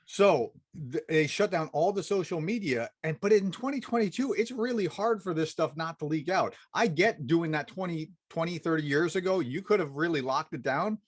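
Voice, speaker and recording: A man talking as a guest on a podcast in a casual, chatty way with halting restarts. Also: on the high side, animated. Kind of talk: conversational interview.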